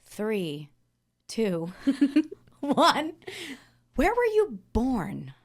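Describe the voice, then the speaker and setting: A woman's voice: a little high, huge pitch swings, very slow. Exuberant, slangy woman podcast host. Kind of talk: casual interview chat. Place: podcast recording studio.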